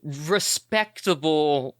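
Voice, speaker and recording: A man's voice: a little high, extremely slow, a bit bright, no room sound, studio quality. A man playing a tabletop role-playing game who chats casually and often stammers and restarts. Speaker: player.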